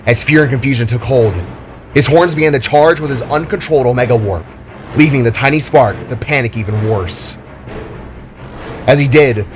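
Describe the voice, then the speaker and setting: Male storyteller, mid-pitched, delivering scripted dramatic narration with light music underneath.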